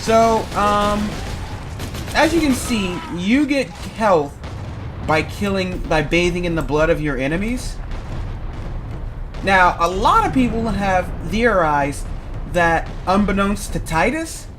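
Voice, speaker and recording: A man's voice: high-pitched, expressive, takes it slowly, background noise. A man from Louisiana, giving casual, rambling let's play commentary. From Louisiana, United States. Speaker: gaming video host. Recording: game music clearly behind. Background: game music.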